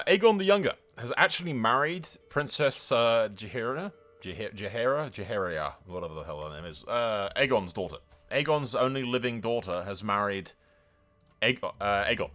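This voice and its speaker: Sweary man commentating a game, bright voice. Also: lowish voice. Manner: sweary, emphatic, rambling, given to theatrical declarations. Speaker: gaming content creator and host. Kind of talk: excited gameplay commentary with in-character roleplay.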